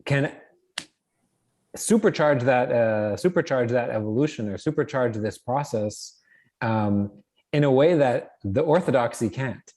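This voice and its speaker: A man's voice: mid-range voice, lively intonation, unhurried, darkish tone. A man hosting a conference panel, framing his questions hesitantly with many "uh"s and restarts. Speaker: host and moderator. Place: conference stage.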